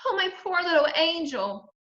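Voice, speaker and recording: A woman's voice: very high voice, big pitch swings, normal speed, fairly bright tone, reverberant, dull audio, voice pushed up, full character voice. A woman voicing an emotive storybook mother, doting but stern and easily upset. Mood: cooing concern.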